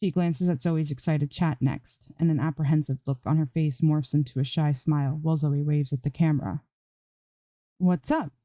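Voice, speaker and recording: Low, mellow woman reading a story. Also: fairly even intonation, a little fast, hardly any pauses, no echo. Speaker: amateur fan fiction narrator. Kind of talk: scripted story narration.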